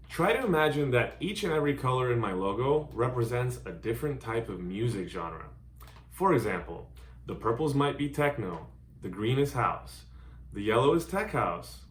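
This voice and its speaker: A man in his thirties, born in Hungary, giving an earnest, carefully worded introduction of himself as a DJ and music producer. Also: medium pitch. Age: 30s. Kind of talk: scripted self-introduction to camera. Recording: roomy echo.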